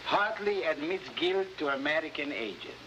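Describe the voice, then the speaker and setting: An actor playing a smug, sinister South American newspaper boss, coolly sardonic, in a 1950s crime drama. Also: male voice, on the high side, expressive, normal speed, natural voice. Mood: smug, threatening. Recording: dull, noisy old 1950s drama soundtrack.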